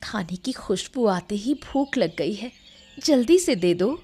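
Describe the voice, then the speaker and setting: A woman's voice: medium pitch, expressive, measured pace, warm tone, slightly rough. A woman voicing a sweet, eager young queen, affectionate and earnest, in a cartoon story. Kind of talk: Hindi cartoon moral story dialogue.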